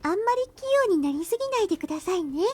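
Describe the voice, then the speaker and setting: A woman playing a cute, playful younger schoolgirl in a visual novel, expressive and pouty. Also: extremely high pitch, racing. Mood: playful, teasing. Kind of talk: Japanese visual novel voice acting.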